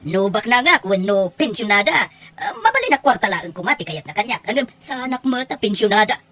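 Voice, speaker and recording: A woman playing a defiant, lovestruck middle-aged mother in an audio drama, theatrical, boastful and quick to exclaim. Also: mid-range voice, sing-song, a bit bright. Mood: defiant, proud. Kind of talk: Ilocano audio drama dialogue.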